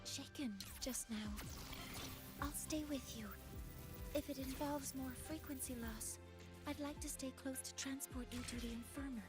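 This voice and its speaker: Woman playing an earnest, high-voiced young researcher. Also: fairly even intonation, close to an everyday voice. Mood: concerned. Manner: formal, measured, with hesitant pauses. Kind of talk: dramatic game voice acting. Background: game music.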